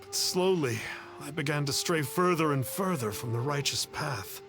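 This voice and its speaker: Man playing a high, theatrical cowardly conman. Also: animated, normal speed, lightly acted. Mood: awestruck. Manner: pompous, theatrical, archaic speech. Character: pompous, cowardly male conman posing as an immortal, grovelling. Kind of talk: video game character dialogue. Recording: game music behind the voice.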